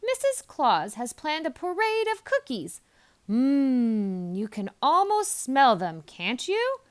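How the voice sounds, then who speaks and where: A woman's voice: high-pitched, huge pitch swings, slow, clean tone, full character voice. A woman voicing a jolly, commanding old man in a children's storybook, in a hearty, emphatic way. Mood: coaxing. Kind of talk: children's storybook read-aloud.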